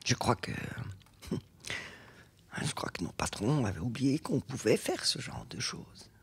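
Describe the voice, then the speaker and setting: An actress playing a nervous, apologetic, quietly defiant home care worker in a radio drama, hesitant and stammering. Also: female voice, very deep voice, big pitch swings, slow pace, creaky, slightly rough, in a whisper, exaggerated voice. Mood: sheepish, defiant. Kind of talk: naturalistic radio drama acting.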